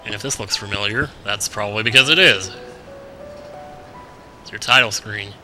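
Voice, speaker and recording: A young American man with a fairly low voice hosts a casual let's play. He rambles along with wry asides. Game music plays clearly behind him. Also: moderate pace, sharp tone, poor audio. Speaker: gaming video host. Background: video game music.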